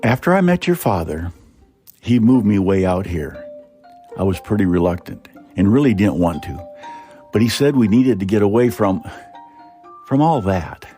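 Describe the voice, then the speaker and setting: A man voicing a worried, protective mother who speaks tenderly and gravely to her child in a short story. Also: fairly low pitch, big pitch swings, moderate pace, long pauses, warm tone, soft background music. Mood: grave, concerned.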